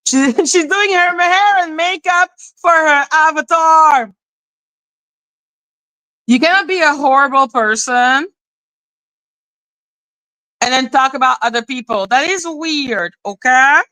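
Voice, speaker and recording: Bright-voiced woman ranting. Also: sits high, slow pace, pauses often, no room sound, rough recording. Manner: sarcastic, exasperated, rambling. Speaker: livestream host. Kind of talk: ranting live-stream commentary.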